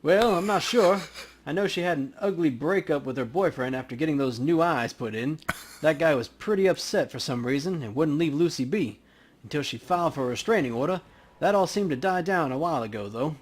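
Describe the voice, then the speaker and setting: Grieving orc shop clerk, voiced by a man, chatty and plain-spoken, pitched up. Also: animated, a little fast. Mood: weary, talkative. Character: grieving male orc convenience store worker, gruffly chatty.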